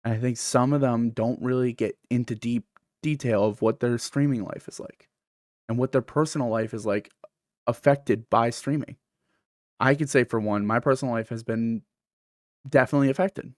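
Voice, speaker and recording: A man's voice: mid-range voice, fairly even intonation, normal speed, dry recording, clean studio sound. A man with a lisp who says th as f, hosting a casual solo podcast in a candid, self-deprecating, rambling way. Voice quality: th pronounced as f. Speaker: podcast host and streamer.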